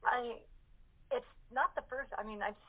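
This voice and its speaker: A woman's voice: fairly high pitch, steady tone, measured pace. A woman talking casually as a guest in a group cast interview. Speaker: cast member being interviewed. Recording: muffled narrow phone line.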